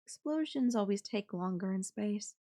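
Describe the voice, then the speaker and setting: A woman voicing a whimsical, flippant goddess in an ASMR roleplay, musing airily with long dramatic pauses. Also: medium pitch, animated, dark tone, lightly acted. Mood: wistful, detached. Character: whimsical, airy, flippant yandere goddess watching Earth die. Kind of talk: ASMR audio roleplay acting.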